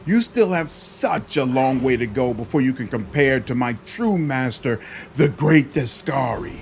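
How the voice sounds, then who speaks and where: A man's voice: medium pitch, animated, a little slow, vocal fry, slight background noise. A man voicing a mocking, fanatical demon servant in a fantasy video game, theatrical, sneering and fervent. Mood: gloating fervour. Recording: music behind the voice.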